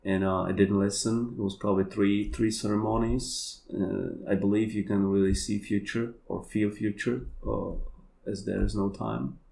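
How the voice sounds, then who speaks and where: A man with a Polish accent, a native Polish speaker, talking in a halting, searching way as a podcast guest. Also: on the low side, fairly even intonation, gravelly, mellow. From Poland. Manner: halting, searching for words, many fillers. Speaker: kambo practitioner guest. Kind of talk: casual conversation.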